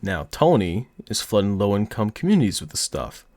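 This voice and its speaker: Casting: a man acting a cocky male mob boss for audio roleplay; deep voice, very lively intonation, put-on mobster accent, flirtatious menace, teasing drawl. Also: brisk, no echo. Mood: smug, businesslike. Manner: smug, teasing, theatrical drawl.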